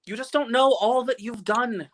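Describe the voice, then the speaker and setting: A man's voice: very high-pitched, normal speed. A man playing an innocent, centuries-old guardian angel with a quaint, earnest manner in a live radio play reading. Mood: concerned.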